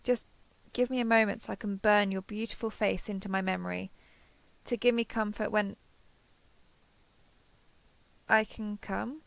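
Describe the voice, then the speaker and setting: A woman acts out a female alien passing as a human survivor in an intimate audio roleplay. Her voice is very dry and close, with a frequent creaky edge. She is hesitant and coaxing, eager to please and quick to cling. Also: medium pitch, steady tone, no echo, natural voice. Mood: lonely, pleading.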